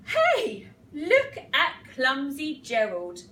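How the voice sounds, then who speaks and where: A woman voicing boisterous jungle animals from a storybook in a loud, exaggerated, theatrical way. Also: high voice, huge pitch swings, drawn-out words, full character voice. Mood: jeering. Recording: roomy, distant mic, faint noise.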